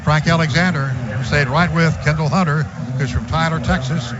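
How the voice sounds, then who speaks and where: A man calling a football game for radio from a stadium booth. His voice sits fairly high and climbs louder with every big gain. The broadcast sounds muffled and lo-fi, with steady noise behind him. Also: moderate pace. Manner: rises in pitch and volume on big plays, calm between. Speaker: radio play-by-play announcer. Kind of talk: live radio play-by-play.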